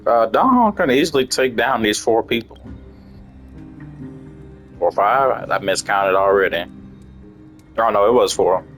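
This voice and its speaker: A man's voice: on the low side, animated, a little slow, pauses often, a bit bright, faint music behind. A man giving casual gameplay commentary in a blunt, slangy, swearing manner. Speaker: gamer reacting to a story quest.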